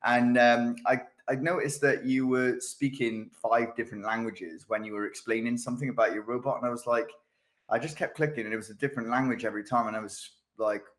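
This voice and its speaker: A man's voice: medium pitch, steady tone, fairly quick. Chatty man hosting. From United Kingdom. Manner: chatty and rambling, with many fillers and restarts. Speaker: podcast host. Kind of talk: casual interview chat.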